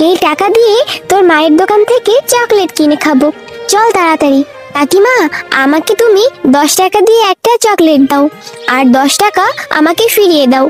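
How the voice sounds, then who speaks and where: A woman's voice: very high-pitched, animated, a little fast, faint music behind. A woman voicing an earnest, easily hurt little village girl in a children's cartoon, with a childlike, pleading manner. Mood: eager. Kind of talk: Bengali children's cartoon voice acting.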